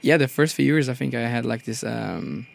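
Twenty-something guy from brazil on a studio podcast, gravelly, laid back, keeps saying you know. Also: mid-range voice, animated, moderate pace, a little hiss or hum. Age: 20s. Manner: easygoing, laughs often, many fillers like "you know". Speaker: guest. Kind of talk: casual podcast chat. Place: radio studio.

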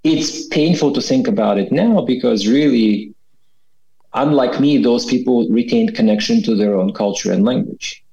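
A man's voice: medium pitch, moderate pace, vocal fry, dark tone. A man in his forties from Kazakhstan, giving a reflective personal account as a guest and often checking in with "right?". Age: 40s. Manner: thoughtful, often ends with "right?". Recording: dull lo-fi sound.

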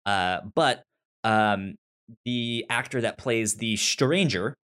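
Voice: mid-range voice, takes it slowly, fairly bright tone, smooth. A podcast host of unclear sex chatting casually about television, often stumbling and repeating words while explaining. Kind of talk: casual TV show discussion.

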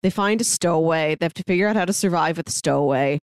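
A woman's voice: on the low side, fast talker, smooth, no echo, studio quality. A woman co-hosting a film podcast in casual conversation. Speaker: film review podcast co-host. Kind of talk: casual chat.

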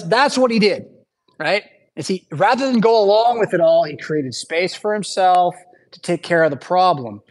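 A male pastor delivers a sermon in a church. His tone is conversational and unpolished, with asides and restarts. He keeps checking in with the congregation as he explains. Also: sits high. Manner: conversational, frequent asides and "right?" tags.

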